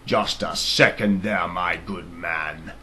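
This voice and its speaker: A man voicing an evil, ruthless king in a storybook reading, pompous and deliberate, with cold menace. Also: low-pitched, fairly even intonation, sharp tone, a little hiss or hum, pitched below the performer's own voice, heavily acted.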